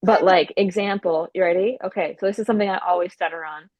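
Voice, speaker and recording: A woman's voice: on the low side, fairly even intonation, fast talker, darkish tone, no room sound, highs cut off. A woman comedian guesting on a podcast, talking casually with a stutter, repeating sounds and restarting words, and swearing freely. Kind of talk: casual chat.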